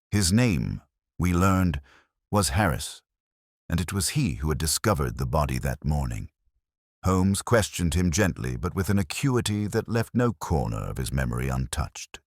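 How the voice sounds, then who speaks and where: A man narrating a period detective story as a scripted audiobook, in a formal, measured storytelling manner. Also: a bass voice, dry recording, clean studio sound.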